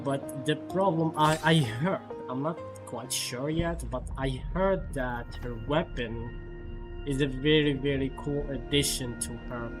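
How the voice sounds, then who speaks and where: A man giving casual live gameplay commentary as a streamer, often repeating words in a halting way. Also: mid-range voice. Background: faint game music.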